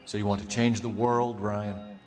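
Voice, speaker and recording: An actor playing a stern 1940s police detective, questioning suspects in a pointed, accusatory way. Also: male voice, lowish voice, flat delivery, moderate pace, a crowd in the background, close to an everyday voice. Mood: probing. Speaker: video game detective. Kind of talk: dramatic interrogation acting. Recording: music under the dialogue.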